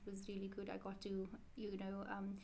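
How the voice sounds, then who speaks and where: A woman's voice: medium pitch, monotone, normal speed, creaky, warm tone. A woman, answering interview questions in a chatty, rambling way and correcting herself often. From South Africa. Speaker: interview guest, Quran teacher.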